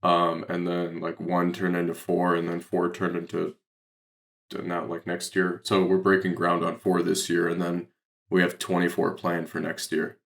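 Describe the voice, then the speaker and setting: A man's voice: low-pitched, completely flat delivery, normal speed, creaky, fairly bright tone. An American man speaking as a podcast guest, explaining things casually in a loose, rambling way full of filler words. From Detroit, Michigan, United States. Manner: rambling, heavy use of "like". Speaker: podcast guest, real estate investor.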